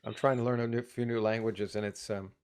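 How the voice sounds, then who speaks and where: A man in his sixties hosting a podcast interview, talking in halting, stop-start phrases. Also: lowish voice, fairly even intonation, darkish tone, smooth. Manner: halting, many restarts and fillers. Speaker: podcast host. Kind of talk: interview conversation.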